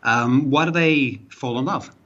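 New Zealand man explaining, muffled and husky. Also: mid-range voice, measured pace, pushed and bright. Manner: thoughtful, explanatory, with frequent fillers. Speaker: guest, author and psychology professor. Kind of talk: podcast interview.

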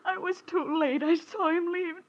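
Distraught wife in old radio drama, high voice, melodramatic, muffled sound. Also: female voice, fairly even intonation, moderate pace. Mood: tearful, grieving. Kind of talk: 1940s radio melodrama acting. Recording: muffled old radio recording, some hiss.

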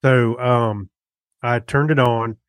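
A middle-aged man speaks as a casual podcast co-host. His voice sits at an average male pitch, and he feels his way haltingly through sentences. The recording is dry, without room sound. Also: fairly even intonation, no room sound. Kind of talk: casual chat about gadgets.

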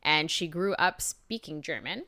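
A woman's voice: low voice, lively intonation, unhurried, sharp tone. A woman co-hosting a podcast, chatting casually and reading out background facts with giggly, jokey asides. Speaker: podcast co-host.

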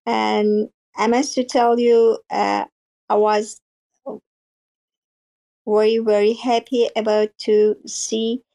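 A woman's voice: a little high, flat delivery, slow, gravelly, pauses often, low-quality recording. A woman from Iran, not a native English speaker, chatting in simple, broken English in an online space. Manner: warm greeting, broken English phrasing. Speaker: guest artist. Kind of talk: casual chat.

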